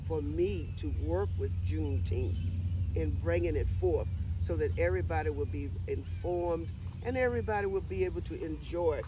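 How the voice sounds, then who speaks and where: A woman's voice: low-pitched, moderate pace. A woman speaking as an interview guest in an exuberant, emphatic and self-assured conversational way. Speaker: interview guest, volunteer event decorator. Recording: distant mic, clear outdoor vehicle noise.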